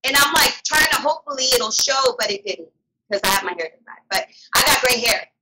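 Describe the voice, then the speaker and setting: Raspy thirties lady on a livestream, kinda high bright voice, playful chat, some noise behind her. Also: expressive, a little fast. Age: 30s. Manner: playful, exclamatory. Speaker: guest on a live stream. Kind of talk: casual chat.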